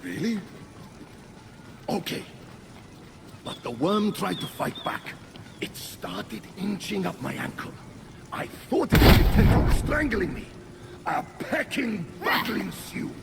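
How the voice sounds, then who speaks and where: Pompous chicken war god, kinda high gravelly voice, super dramatic, video game cartoon style. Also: male voice, sing-song, takes it slowly, long pauses, heavy background noise, heavily acted. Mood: boastful. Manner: theatrical, pompous, self-dramatizing. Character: war god stuck as a chicken, blustering, dramatic, easily flustered. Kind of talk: animated video game acting.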